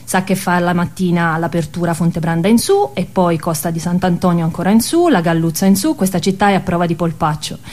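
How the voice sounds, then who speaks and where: A woman's voice: lowish voice, brisk, hardly any pauses, darkish tone. A woman with a Tuscan accent tells a first-person story as an actress in a stage monologue.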